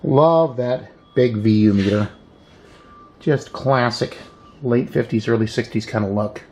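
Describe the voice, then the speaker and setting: A man presenting an unscripted hands-on repair walkthrough, thinking aloud unhurriedly with trailing pauses. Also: medium pitch. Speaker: hobbyist video presenter. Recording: echoey room with faint background noise.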